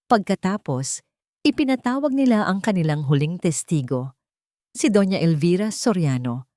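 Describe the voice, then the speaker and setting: A woman's voice: deep voice, darkish tone, dry recording, studio quality. A woman reading a scripted first-person story in a dramatic, solemn manner. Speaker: narrator.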